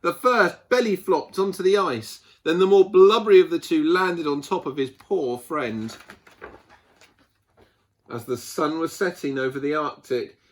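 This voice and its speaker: A man reading a picture book aloud to children, expressively, with dramatic pauses and emphasis. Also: on the high side. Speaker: children's storyteller.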